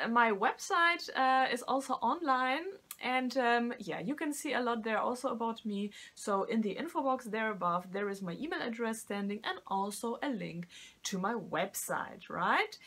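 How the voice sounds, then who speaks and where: A middle-aged woman speaking English as a second language, her voice sitting a little high. She talks casually and hesitantly to her viewers, full of fillers, restarts and searched-for words. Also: a bit bright. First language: not English. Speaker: tarot reader and vocal coach. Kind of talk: casual talk to camera.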